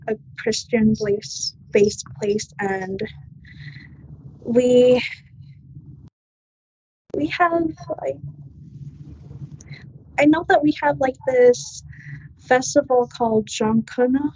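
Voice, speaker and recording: Student from Jamaica, teen girl; slow, husky, halting, muffled lo-fi take. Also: fairly high pitch, darkish tone. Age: teens. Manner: hesitant, searching for words. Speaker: student guest. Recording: muffled lo-fi recording.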